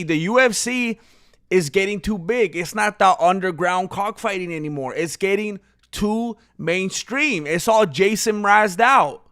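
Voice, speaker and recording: A man in casual live-stream chat with a high, smooth voice and a dry, close sound. He jokes loosely and slips into snatches of song. His voice is wearing thin from too much talking. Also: a bit bright, dry recording. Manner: jokey, breaks into off-key singing and ad-jingle bits. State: losing his voice.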